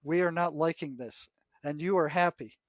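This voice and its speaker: Older man, American accent, slightly high voice, halting anecdotal delivery, repeated words, dry close sound. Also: dry recording. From United States. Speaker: retired psychotherapist, podcast guest. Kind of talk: conversational anecdotes and reading aloud from written notes.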